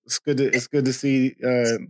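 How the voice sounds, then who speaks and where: A man's voice: medium pitch, flat delivery, moderate pace, background noise. A man hosting a podcast interview over a video call, talking casually with many fillers and restarts. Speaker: podcast host.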